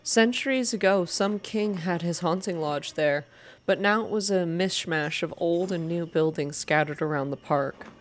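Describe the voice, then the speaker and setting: Low-pitched woman reading a story, ASMR style. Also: normal speed. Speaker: reader of a visual novel game. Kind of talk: soft ASMR reading, scripted story narration with voiced dialogue.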